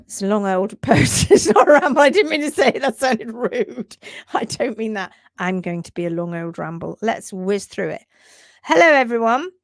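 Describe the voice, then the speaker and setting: A woman hosting a live stream on hoof care, talking quickly and repeating herself, laughing often as she reads out viewer posts. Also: on the high side, expressive, dry recording, studio quality. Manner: rapid-fire, repeats words, chatty. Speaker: livestream host, hoof care teacher. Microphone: livestream setup.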